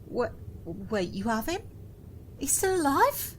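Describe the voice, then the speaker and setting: Audio drama captive played by a woman: devoted wife, high voice, anxious, stammering, pleading. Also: sing-song, slow, slight background noise. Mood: frightened pleading. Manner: anxious, stammering, urgent questioning. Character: frightened, pleading female prisoner, devoted wife.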